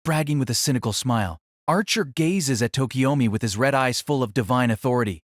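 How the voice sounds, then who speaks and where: A man's voice: mid-range voice, a little fast, no echo, clean studio sound. A man reading scripted dramatic story narration as the narrator of an audio drama.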